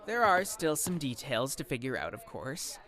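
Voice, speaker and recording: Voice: medium pitch, sing-song, moderate pace, fairly bright tone. An actor playing a polite, businesslike job interviewer at a magic glitter company in an audio drama. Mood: courteous, probing. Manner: formal, businesslike.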